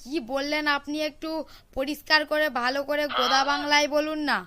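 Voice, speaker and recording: A woman's voice: sits high, flat delivery, brisk, sharp tone. A woman voicing a cheeky school pupil who talks back pertly in a comedy cartoon.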